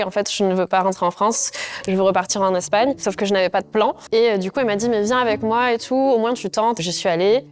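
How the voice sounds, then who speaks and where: Give me a quick-speaking woman in her thirties recounting her past. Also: medium pitch. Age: 30s. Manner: storytelling, hesitant fillers. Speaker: guest.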